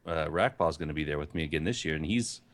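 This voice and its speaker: A man co-hosting a casual live-stream show, just woken up, relaxed and easygoing. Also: rumbling low, fast talker, no room sound. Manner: relaxed, easygoing, explains at length. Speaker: podcast co-host.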